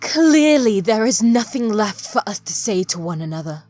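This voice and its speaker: A woman's voice: medium pitch, sing-song, normal speed, darkish tone. A woman playing a proud, betrayed, vengeful ancient mage in an audio drama, speaking imperiously over music. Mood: angry, defiant.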